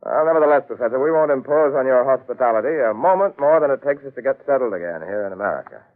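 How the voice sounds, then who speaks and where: A man's voice: medium pitch, lively intonation, fast, natural voice. A man playing an edgy, hot-headed explorer husband in an old-time radio drama, dramatic and clipped. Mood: courteous, uneasy. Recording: narrow, lo-fi old radio recording.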